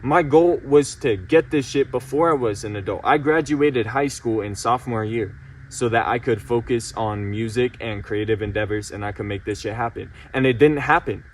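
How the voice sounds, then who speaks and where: A man's voice: medium pitch, moderate pace, far from the mic. A late-teen young man pours out his frustration in an insistent, repeating vent. His voice sits a little away from the mic, with faint background noise underneath. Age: late teens. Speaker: vlogger. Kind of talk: emotional personal vent to camera.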